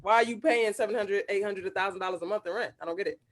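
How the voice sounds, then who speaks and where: A woman of forty, a co-host, talking in a quick, emphatic, laughing way in a casual chat. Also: medium pitch. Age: 40s. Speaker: co-host, attorney.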